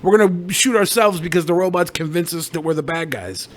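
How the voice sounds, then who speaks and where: A man's voice: on the high side, brisk. A sardonic, foul-mouthed man chatting casually and jokingly as a podcast co-host. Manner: sardonic, profane. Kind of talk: casual comedic podcast chat.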